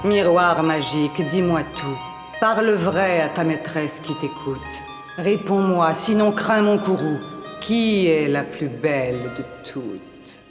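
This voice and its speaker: In an echoing chamber with music underneath, a cruel, vain cartoon queen. She is imperious and theatrical, her pitch leaping widely with every threat and command. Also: lively intonation, slow pace. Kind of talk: French animated film voice acting. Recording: echoey reverberant hall, music behind.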